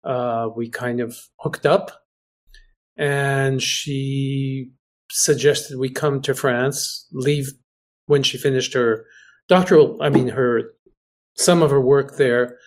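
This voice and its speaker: A man who grew up in Greece, speaking as an interview guest over a video call, halting and often restarting as he searches for words. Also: mid-range voice, steady tone. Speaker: guest, researcher. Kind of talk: casual conversation.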